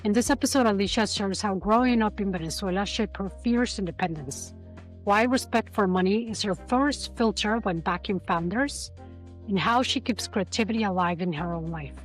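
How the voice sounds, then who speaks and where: A woman hosting a podcast interview, opening with a measured scripted introduction. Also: medium pitch, soft background music, rough recording. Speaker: podcast host.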